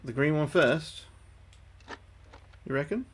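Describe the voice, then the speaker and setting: A man's voice: fairly high pitch, lively intonation, slow, slight background noise. A young man livestreaming a game, thinking aloud to his chat, sweary and repeating himself. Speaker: livestreaming gamer. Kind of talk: casual gameplay commentary to chat.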